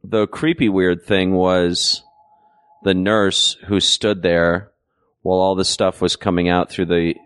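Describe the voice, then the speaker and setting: A man's voice: low-pitched, flat delivery, slow, fairly bright tone, smooth, dry recording, studio quality. A man in his forties hosting a casual chat, blunt and crude with a teasing edge. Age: 40s.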